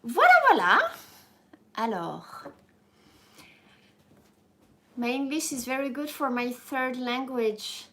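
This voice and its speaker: A woman's voice: high-pitched, big pitch swings, slow, long pauses, bright, forceful tone. A woman in her thirties with a French accent, chatting casually with viewers on a live stream, rambling and frank with frequent restarts. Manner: rambling, self-correcting, frank, laughs easily. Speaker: YouTuber hosting a live stream. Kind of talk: casual live-stream chat reading comments.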